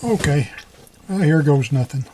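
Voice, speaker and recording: A man's voice: a little high, animated, a little slow, a little mellow, slight background noise. A middle-aged man giving a casual hands-on tutorial, talking in a folksy, colloquial way and half muttering to himself as he works. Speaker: repair hobbyist.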